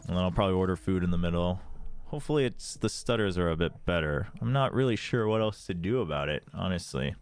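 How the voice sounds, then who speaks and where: A man's voice: low voice, expressive, fast, clear, resonant tone, faint music behind. A man streaming a watch party, chatting casually with his viewers and laughing often. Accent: slight non-native accent in Korean. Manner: laughs often, chatty with chat, switches into Korean. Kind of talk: casual livestream chat.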